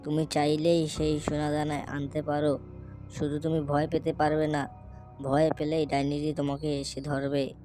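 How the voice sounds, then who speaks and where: A woman's voice: sits low, flat delivery, normal speed, warm tone, faint music behind, natural voice. A woman voicing a hungry, helpful talking crow in a children's cartoon, politely coaxing and bargaining. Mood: confiding, cautioning.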